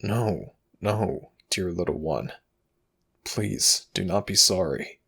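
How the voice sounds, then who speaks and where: A man playing an ancient, protective god in an intimate ASMR audio roleplay, speaking in a halting, intense way with a gravelly, breathy voice. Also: low voice, animated, a bit bright, full character voice. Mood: subdued, tender. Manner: halting, drawn-out, intense delivery.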